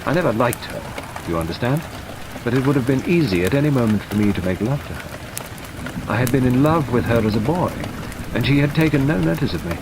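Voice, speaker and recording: A man's voice: mid-range voice, lively intonation, brisk, background noise, natural voice. A man reads as a proud, resentful middle-aged Englishman with a bitter edge, his voice rising and falling widely. Rain patters steadily behind him in this audiobook. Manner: resentful, intense confession.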